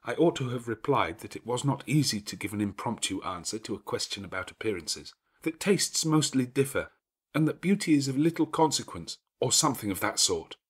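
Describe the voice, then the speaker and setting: A man voicing a polite, plain-spoken young governess with measured, respectful frankness in an audiobook. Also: medium pitch, expressive, breathy edge, pitched above the performer's own voice. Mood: frank, apologetic. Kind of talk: dramatic audiobook character dialogue.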